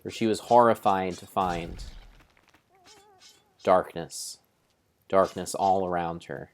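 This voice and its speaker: A man's voice: low voice, fairly even intonation, takes it slowly. A man giving a solemn, theatrical mock-dramatic narration of a video game, as if reading a grand storybook. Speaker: streamer narrating his game play.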